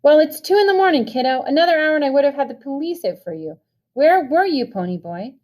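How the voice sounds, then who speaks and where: A woman reading the part of a furious older brother who shouts and scolds. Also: a little high, fast talker, close to an everyday voice. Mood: furious scolding. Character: older brother, angry stern young man.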